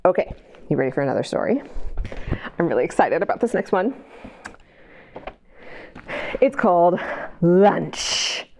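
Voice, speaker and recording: A middle-aged American woman hosting a library storytime, reading aloud to young children in an expressive, sing-song way and drawing them in with questions. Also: on the low side, unhurried, gravelly, pauses often, a little hiss or hum. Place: indoor library children's area. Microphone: lapel mic.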